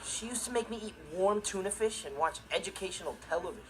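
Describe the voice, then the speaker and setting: A man's voice: sits high, lively intonation, quick pace, noisy background. A young male actor playing a laid-back, irresponsible teenage stepbrother, telling stories with offhand comic delivery in a TV sitcom. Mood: wistful. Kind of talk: scripted comic monologue in a TV sitcom. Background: loud music and laughter.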